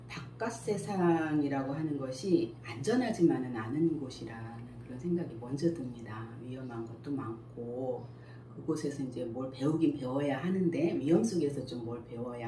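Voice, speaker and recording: Very low-voiced woman, calm and thoughtful. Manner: calm, thoughtful, many pauses and fillers. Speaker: school principal and picture book author. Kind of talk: interview answers. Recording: echoey room with faint noise.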